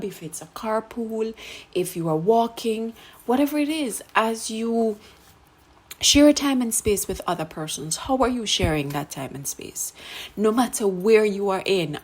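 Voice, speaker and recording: Soft husky woman guiding a reflection. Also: mid-range voice. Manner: gentle, soothing, drops to whispers. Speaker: segment presenter. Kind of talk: guided self-care reflection.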